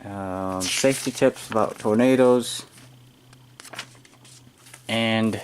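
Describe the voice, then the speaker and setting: An American man giving a casual, halting, off-the-cuff hands-on product demonstration as he unboxes it on camera. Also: on the low side. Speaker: amateur unboxing video maker. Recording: noisy amateur room recording with clicking.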